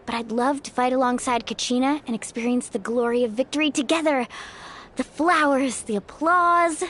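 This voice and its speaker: A woman's voice: high voice, normal speed, fairly bright tone. A woman voicing a confident, playful, sisterly young female warrior in a video game. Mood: breezy, proud. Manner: breezy, playful, self-assured. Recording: faint music behind. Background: faint music.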